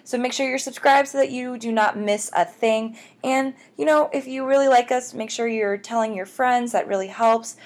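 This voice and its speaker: Gushing, enthusiastic American woman podcaster. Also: on the high side. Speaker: podcast host. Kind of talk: casual chatty podcast introduction.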